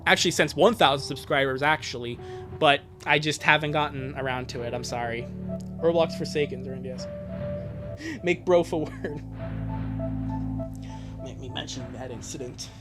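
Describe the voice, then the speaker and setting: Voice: medium pitch, moderate pace, pauses often. A streamer in their twenties reading fan fiction aloud on a live stream, jokey and often breaking off into asides. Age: 20s. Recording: music behind the voice. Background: music.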